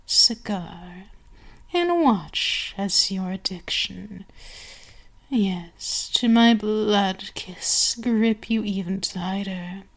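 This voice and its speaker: A woman voicing a seductive, domineering vampire queen in an ASMR roleplay, speaking deliberately with savoring pauses to an enslaved servant. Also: medium pitch, exaggerated voice. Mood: gloating, seductive. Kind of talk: ASMR roleplay, scripted monologue.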